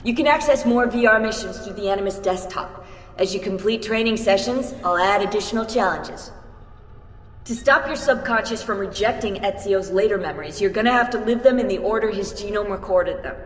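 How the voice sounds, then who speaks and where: A woman's voice: a little high, natural voice. A woman playing a confident, casual young tech geek in a video game, giving instructions with self-assurance. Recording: echoey hall reverb, faint music behind.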